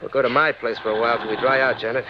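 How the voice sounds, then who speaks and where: An actor playing a tough, cocky small-time crook with slangy, hard-boiled delivery in 1940s radio style. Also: male voice, medium pitch, fairly even intonation, racing, crowd noise, close to an everyday voice. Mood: smug, wary. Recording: muffled, noisy 1940s radio recording.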